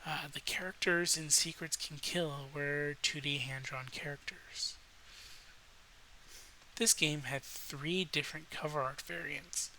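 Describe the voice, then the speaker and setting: A man with a slightly rough voice, rambling in a relaxed, hesitant late-night style with frequent pauses, as a video host. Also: a little high, poor audio. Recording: noticeable faint background hiss.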